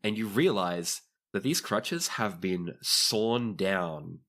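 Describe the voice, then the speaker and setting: A man's voice: low-pitched, animated, slow, a bit bright. A man improvising narration as game master of a tabletop roleplaying game.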